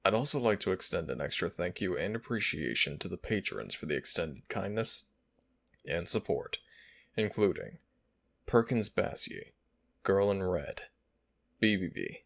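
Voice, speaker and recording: A man's voice: deep voice, lively intonation, unhurried, vocal fry, fairly bright tone. A man, an audio roleplay creator, reading a scripted thank-you to his supporters.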